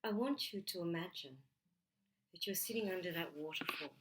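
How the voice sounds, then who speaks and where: A woman leading a guided relaxation meditation in a gentle, soothing way, leaving long pauses between short phrases. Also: fairly low pitch, lively intonation, noisy background.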